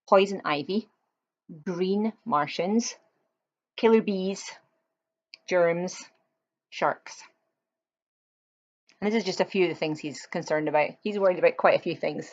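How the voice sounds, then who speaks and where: A woman's voice: on the low side, dull audio. A woman reading a children's picture book aloud, expressively, with chatty explanatory asides to young listeners.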